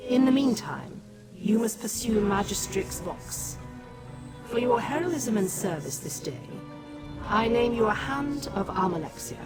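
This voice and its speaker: A woman's voice: on the high side, expressive, measured pace. A woman playing a solemn, benevolent goddess ruler in a fantasy video game, speaking in a formal and stately manner. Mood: solemn, commanding. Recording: loud music behind the voice.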